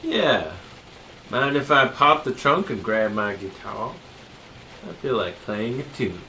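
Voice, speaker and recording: A man playing a menacing, eerily confident drifter who philosophizes deliberately in an audio drama. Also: medium pitch, big pitch swings, bright, forceful tone, slight background noise, exaggerated voice. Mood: sly. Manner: slow, deliberate, probing with rhetorical questions. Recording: roomy, distant from the mic. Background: prominent music under the voice.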